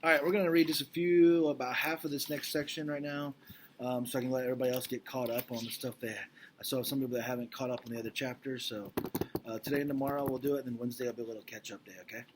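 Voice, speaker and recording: A man's voice: mid-range voice, fairly even intonation, quick pace, noisy background. A man speaking as a teacher, casually recapping and reading a storybook aloud in an informal, explanatory way, checking in with listeners.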